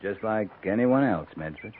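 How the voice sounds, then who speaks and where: An actor playing a plain-spoken, steady cavalry captain in a 1950s radio western, terse and dryly understated. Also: male voice, lowish voice, normal speed, a little hiss or hum, natural voice. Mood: commanding. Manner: terse, folksy, dry understatement. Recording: tinny 1950s radio broadcast recording.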